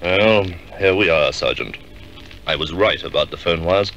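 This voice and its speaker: A man acting a shrewd, authoritative middle-aged police superintendent in a 1950s radio drama, measured and decisive. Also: low voice, a bit bright, highs cut off, faint music behind. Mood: confident.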